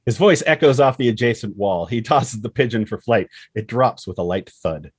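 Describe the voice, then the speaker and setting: A man's voice: medium pitch, expressive, normal speed, slightly rough, no echo, clean studio sound. A man reading stage directions as the narrator of a screenplay table read.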